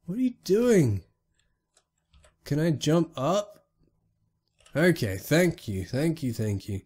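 A sick young man giving casual, excitable let's play commentary on a retro game, swearing often and talking to the characters on screen. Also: fairly high pitch, slow, slightly rough. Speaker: gaming YouTuber.

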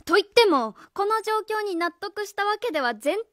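A woman's voice: very high voice, animated, moderate pace, fairly bright tone, dry recording, clean studio sound. A woman playing an earnest, by-the-book schoolteacher in a radio drama, careful, polite and insistent. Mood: reluctant. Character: earnest, by-the-book female schoolteacher on home visit. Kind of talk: Japanese radio drama acting.

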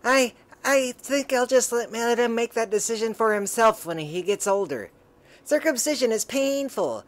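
A woman's voice: on the high side, expressive, moderate pace, lightly acted. A woman voicing an anxious, tender young woman from a comic book, with melodramatic delivery. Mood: worried.

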